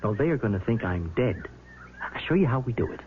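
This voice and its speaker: Through a narrow, faintly noisy old broadcast comes a man acting a scheming husband of middling pitch. He is bitter and falsely easygoing, taunting with sardonic relish. The delivery is 1970s radio drama. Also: big pitch swings, a little fast, close to an everyday voice. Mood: menacing, taunting. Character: scheming, bitter blackmailing husband turned would-be killer.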